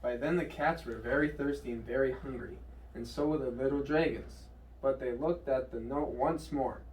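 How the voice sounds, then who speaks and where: A man's voice: medium pitch, moderate pace, a little mellow. A man reading a children's storybook aloud. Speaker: storybook reader. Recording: distant mic, echoey room, faint noise.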